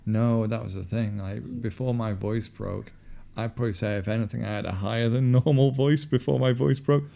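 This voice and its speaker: A man with a fairly deep voice, co-hosting a casual film commentary, chatty and quick to laugh at himself. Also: fairly even intonation, vocal fry, lo-fi sound. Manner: chatty, self-deprecating, laughs often. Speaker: podcast co-host.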